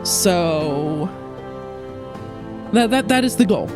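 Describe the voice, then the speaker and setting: A woman's voice: lowish voice, lively intonation, very slow, over music. Congested woman chatting over gameplay. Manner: chatty, self-correcting, thinking aloud. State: congested from a cold. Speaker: let's play host. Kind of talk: casual gameplay commentary.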